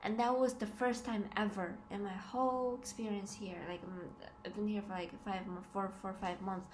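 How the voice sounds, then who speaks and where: A woman's voice: mid-range voice, fairly even intonation, normal speed, darkish tone. A woman in her twenties talking casually and confessionally to a camera indoors, hesitant yet emphatic, often repeating her words. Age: 20s. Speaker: student living abroad, vlogger. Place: small plain room indoors. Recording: noisy home camera recording.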